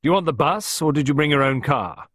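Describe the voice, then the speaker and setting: A man voicing a robust, blunt woman gardener in an audiobook, with a hearty, brisk manner. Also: a little high, lively intonation, a bit bright, no echo, pitched above the performer's own voice.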